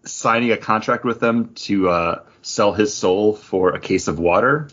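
A man with a fairly deep, unclear-edged voice talks casually as a podcast co-host. He rambles along conversationally. The recording is a bit dull and lo-fi. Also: measured pace, fairly bright tone. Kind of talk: casual chat.

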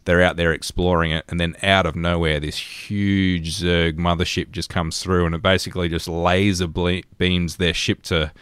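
Man, thirties, Australian accent, low-pitched voice, casual podcast storytelling, dry close sound. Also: flat delivery, normal speed, hardly any pauses, a bit bright, clean tone, no room sound. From rural New South Wales, Australia. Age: 30s. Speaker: podcast co-host.

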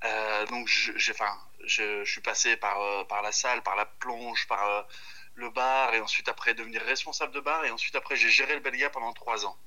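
A man's voice: medium pitch, fairly even intonation, a little fast, sharp tone. A man in his thirties chatting casually on a phone call as a guest, hesitant and thinking aloud. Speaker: chef, podcast guest. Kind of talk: casual chat over the phone.

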